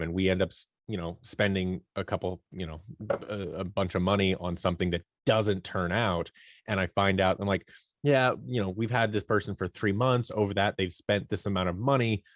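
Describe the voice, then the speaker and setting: A man's voice: on the low side, no echo, studio quality. A man giving conversational interview answers as a guest producer, informal and often voicing imagined dialogue as he explains. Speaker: guest, audio drama producer and company owner.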